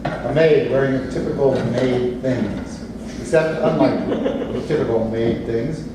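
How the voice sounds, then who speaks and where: Male stage directions reader, medium pitch, plain narration, distant and very echoey room. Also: unhurried. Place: indoor theatre space, distant microphone. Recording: echoey, noisy room from far off.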